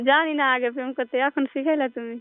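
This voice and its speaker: A woman's voice: high-pitched, fairly even intonation, moderate pace, no echo. A woman chatting casually and flirtatiously on a phone call in a rural Bengali dialect. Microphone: telephone. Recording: muffled narrow-band phone call.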